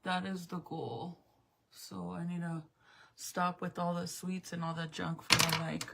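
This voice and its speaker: Late 30s woman rambling to her stream chat, kinda low voice with a gravelly edge, swears a bit. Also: level tone, unhurried, background noise. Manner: chatty, rambling, frank with mild swearing. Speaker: livestream host. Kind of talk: casual livestream chat with viewers.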